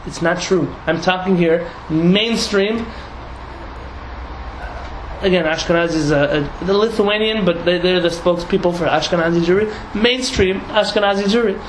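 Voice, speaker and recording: A young man from San Diego, a rabbi talking casually to a group, lively and laughing with many restarts and asides. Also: high-pitched, moderate pace. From San Diego, United States. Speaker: rabbi teaching a class. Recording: echoey room, audible noise.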